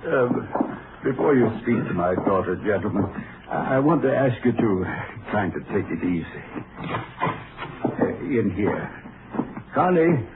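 Protective rancher dad, hesitant, swinging pitch, 1950s radio drama, heavily noisy recording. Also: male voice, sing-song, a little slow, long pauses. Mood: protective, uneasy. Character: protective middle-aged rancher, uneasy father. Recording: very noisy narrow-band old radio.

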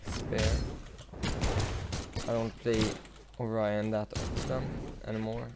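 A young man with a Swedish accent rambles along over his gameplay, slow and low-pitched, with a gravelly, flat delivery full of fillers. Loud noise and clicking sit under his voice. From Sweden. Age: teens. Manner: rambling, many fillers and pauses. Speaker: gaming YouTuber. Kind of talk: casual commentary while playing.

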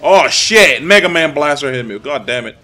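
A man's voice: a little high, expressive, normal speed, sharp tone. A young man from the Dominican Republic giving casual, profane commentary while playing a fighting game, ranting and easily irritated. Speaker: gameplay commentator.

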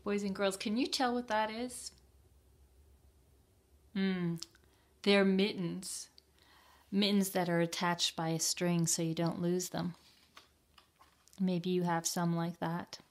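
An older woman reads a picture book aloud for children. Her voice is fairly low and very unhurried, and she speaks deliberately, putting simple questions to young listeners. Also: flat delivery. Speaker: library storytime reader.

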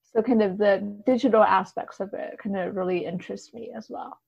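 A woman with a mid-pitched voice answers as an interview guest. She explains conversationally and softens her points as she goes. The recording is dry and a little lo-fi. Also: fairly even intonation, brisk, gravelly, slightly rough, no room sound. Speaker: podcast guest, translator.

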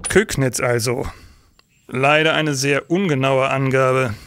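An actor playing a stern, formal police senator in a nineteenth-century crime audio drama. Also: male voice, medium pitch, steady tone, takes it slowly, a bit bright, slight background noise. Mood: sceptical. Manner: formal, grave. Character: stern, authoritative police senator of 1870s Germany.

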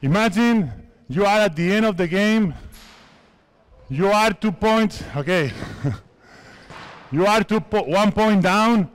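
A basketball coach from Spain in his fifties, a native Spanish speaker, talks players through drills in a big indoor sports hall. His voice sits a little high, and he speaks slowly and deliberately in short, instructive commands. Basketballs bounce in the background. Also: expressive, clear, resonant tone. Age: 50s. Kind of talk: coaching clinic on court.

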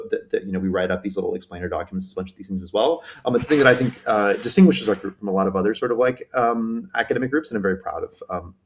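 A research group member talking casually in an online Q&A, rambling with many restarts. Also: male voice, low-pitched, darkish tone, a little hiss or hum.

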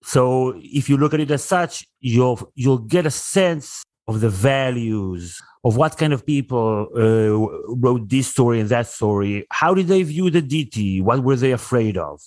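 A man's voice: mid-range voice, animated, unhurried, darkish tone, no echo. A man whose first language is Hebrew, a guest on a podcast, explaining his ideas thoughtfully with some fillers. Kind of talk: casual podcast conversation.

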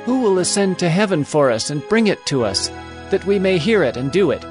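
A high-voiced, questioning villager. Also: male voice, lively intonation, brisk, over music. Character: questioning man among the people. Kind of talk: dramatized scripture audio drama.

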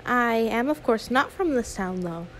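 A woman's voice: a little high, expressive, unhurried, fairly bright tone, a little hiss or hum, poor audio. A woman voicing an anxious, sweet young female YouTuber in an audio roleplay, stammering, awkward and apologetic. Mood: flustered, polite. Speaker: ASMR roleplay performer. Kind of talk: one-sided ASMR audio roleplay.

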